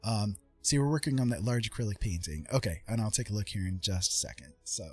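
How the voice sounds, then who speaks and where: A man's voice: on the low side, animated, fast talker, a little mellow, breathy edge. A man hosting a livestream in casual chat with viewers, effusive with his thanks. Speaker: livestream host. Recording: music playing behind the voice. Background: music.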